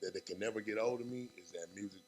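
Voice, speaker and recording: A young man, a rapper talking as a guest on a podcast in fast, animated street talk full of fillers. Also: lowish voice, slight background noise. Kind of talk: casual podcast interview.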